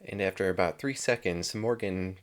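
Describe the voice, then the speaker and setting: A man's voice: low-pitched, moderate pace. A man co-hosting a casual TV episode review, chatting in a wry way. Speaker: reviewer, co-host.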